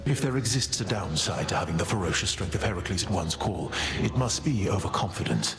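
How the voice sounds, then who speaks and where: A man playing a proud, prophetic titan in a video game, speaking formally and deliberately with theatrical gravity. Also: sits low, animated. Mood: measured, solemn. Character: proud prophetic male titan, measured and solemn. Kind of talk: video game character acting. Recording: echoing voice under game music.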